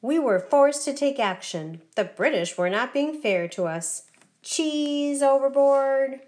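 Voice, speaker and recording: A woman voicing an excitable little mouse with exclamatory, animated delivery in a children's picture book. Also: high-pitched, slow, pitched above the performer's own voice. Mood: triumphant. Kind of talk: children's picture book read-aloud dialogue.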